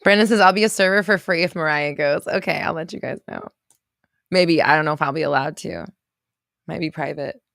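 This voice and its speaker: A woman in her thirties hosting a casual livestream, reading viewer comments aloud and reacting with quick laughs and exclamations. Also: low voice, creaky, a bit bright, no room sound, clean studio sound. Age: 30s.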